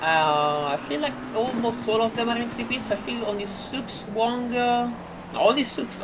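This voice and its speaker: A woman with an Italian accent giving chatty live play-by-play commentary on a sim race, with many fillers and restarts. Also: fairly high pitch. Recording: loud racing car engine noise behind. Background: loud game car engines.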